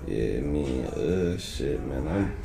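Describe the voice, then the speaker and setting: Deep-voiced laid-back rapper. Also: male voice, lively intonation, takes it slowly, gravelly, warm tone, reverberant. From Detroit, USA. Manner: laid-back, loose slang with frequent "you know what I'm saying". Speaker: rapper guest. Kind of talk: casual interview chat.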